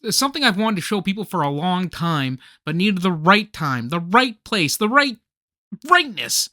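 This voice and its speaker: A male actor playing an excitable, nostalgic, nerdy man in a radio comedy play, with theatrical, geeky banter. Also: sits high, normal speed, no echo, studio quality, heavily acted. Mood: anxious, dramatic.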